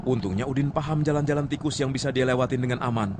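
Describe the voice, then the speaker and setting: A man's voice: mid-range voice, quick pace, background noise. A man narrating a radio story as host, with chatty asides to a sidekick.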